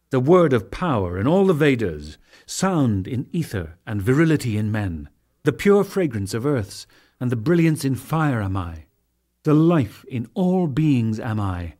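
A man narrating sacred scripture as a dramatic reading, solemn and declamatory, in measured phrases with deliberate pauses. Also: mid-range voice, darkish tone. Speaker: audiobook narrator.

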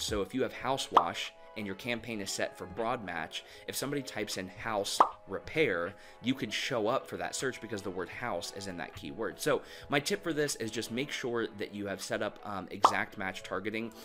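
A man's voice: lowish voice, normal speed, hardly any pauses, loud music behind the voice. A man running a marketing agency, giving direct advice to viewers in a casual, conversational explainer full of "you know" and "um".

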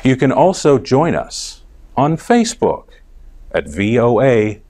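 A man's voice: mid-range voice, sing-song, slow pace, a little mellow. A middle-aged American man presenting a scripted news report for English learners, enunciating carefully phrase by phrase. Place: TV studio.

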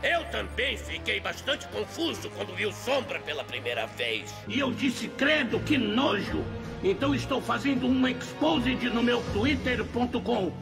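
A ranting cartoon villain with a Brazilian accent. The voice is extremely high and crackles with gravel, boastful and crude, with clear music behind it. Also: male voice, big pitch swings, measured pace, full character voice. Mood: indignant ranting. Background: loud background music.

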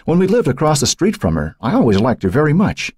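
A man reading the part of a fearful, troubled woman under questioning, halting and husky. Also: a little high, wildly swooping pitch, darkish tone, dry recording, voice pushed up, exaggerated voice. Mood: hesitant. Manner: halting, pleading, turning angry.

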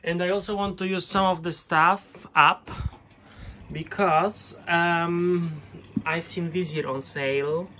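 Slow, hesitant man narrating laundry. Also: on the high side, steady tone, sharp tone, a little hiss or hum. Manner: unhurried, hesitant, chatty explaining. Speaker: laundry vlogger. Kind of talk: casual narration while doing laundry. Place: home laundry area.

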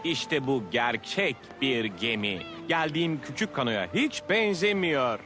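An actor voicing an awestruck, excitable male visitor in a dubbed cartoon. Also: medium pitch, measured pace, music in the background, close to an everyday voice. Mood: amazed.

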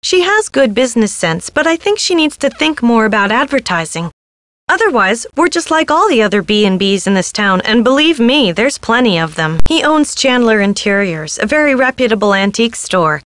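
Game innkeeper: affected mystic, dramatic and airy, higher register, dry studio sound. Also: female voice, sing-song, normal speed, a bit bright, dry recording. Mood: chatty, earnest. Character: theatrical mystical woman innkeeper devoted to the spirits. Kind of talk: video game character dialogue.

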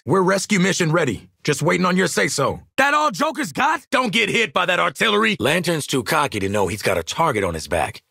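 A brash, sardonic American sharpshooter for a video game. His voice is gritty and gravelly, with a forceful edge and a streetwise, wry delivery. It is recorded very dry and close. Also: medium pitch, dry recording, exaggerated voice. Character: cocky, sardonic adult male assassin and marksman. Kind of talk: video game combat barks and banter.

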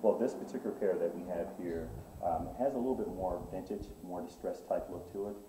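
Very deep-voiced shop owner, echoey store. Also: male voice, fairly quick, far from the mic, background noise. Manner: eager, repetitive salesman talk with many fillers. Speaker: boutique owner. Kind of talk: product walkthrough in interview. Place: menswear boutique, indoors. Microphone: far camera. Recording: echoey shop sound from off-mic.

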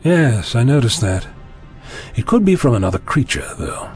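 Man as wry eccentric explorer, very animated, audio drama, self-assured, light music behind. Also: medium pitch, moderate pace. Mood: musing, wry. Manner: musing, wry. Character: eccentric, curious, self-assured time-travelling adventurer.

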